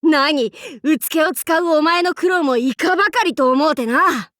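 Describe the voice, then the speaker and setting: A woman's voice: high voice, unhurried, a bit bright, no echo, clean studio sound. A woman voicing a boisterous female demon king warlord in a Japanese anime game, speaking in a haughty, archaic, theatrical way. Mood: cocky, brash. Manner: haughty old-fashioned lordly speech, theatrical battle cries.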